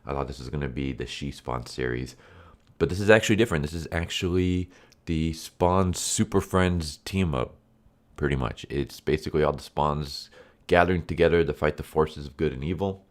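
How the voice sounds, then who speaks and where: Man, very deep voice, stuffy and congested, rambling casual comic reviewer, lots of fillers. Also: moderate pace. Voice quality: nasal, stuffy. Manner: rambling, full of fillers and restarts. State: congested from illness.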